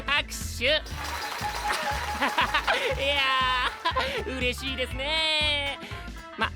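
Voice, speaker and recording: A man's voice: very high-pitched, full character voice. A man playing a cheerful, polite and easygoing young knight in a comedic audio drama, with an airy, playful manner. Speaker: voice actor. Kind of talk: animated comedic character banter. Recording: studio voice over prominent background music.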